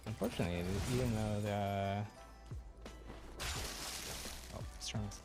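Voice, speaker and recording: A man's voice: fairly low pitch, moderate pace. A man giving casual live commentary as a game streamer, mild-mannered with clean exclamations. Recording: game music behind the voice. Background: loud game music.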